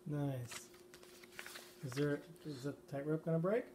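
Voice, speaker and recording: A man's voice: on the high side, unhurried, dark tone. A man talking casually and encouragingly to a child, coaching from behind a handheld camera in a living room. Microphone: handheld camera mic, speaker behind camera. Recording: faint noise, clicks, off-camera home recording.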